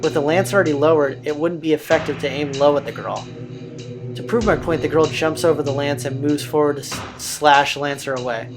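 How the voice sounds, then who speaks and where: A man with a slightly high voice reads visual novel narration aloud as a let's play host. A game soundtrack plays clearly behind him. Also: fairly quick. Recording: music playing behind the voice.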